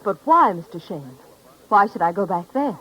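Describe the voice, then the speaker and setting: An actress playing a distressed, defiant young heiress with a husky voice in a 1950s radio drama. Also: female voice, mid-range voice, lively intonation, moderate pace, a bit bright, low-quality recording.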